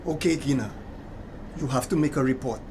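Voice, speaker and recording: A man's voice: mid-range voice, a little fast, noisy background, close to an everyday voice. Man acting a hesitant, defensive Caribbean policeman. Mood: measured, resigned. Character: evasive adult policeman, a conflicted family friend. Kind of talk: TV drama dialogue. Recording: music clearly behind the voice.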